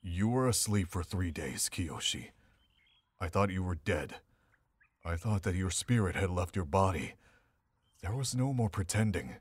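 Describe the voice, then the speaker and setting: A man playing a gentle, remorseful old monk mentor in an animated drama, husky and solemn. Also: low-pitched, measured pace, long pauses, dry recording, full character voice.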